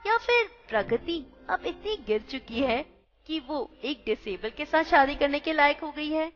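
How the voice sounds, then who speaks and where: A woman's voice: high-pitched, expressive, normal speed. A woman narrating a radio play, addressing listeners directly and expressively, in a muffled recording with music behind.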